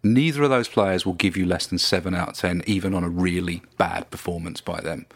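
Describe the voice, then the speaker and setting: Low-voiced, measured man. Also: creaky, dry recording. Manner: measured and deliberate, with hesitant fillers. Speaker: football podcast co-host. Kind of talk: casual chat.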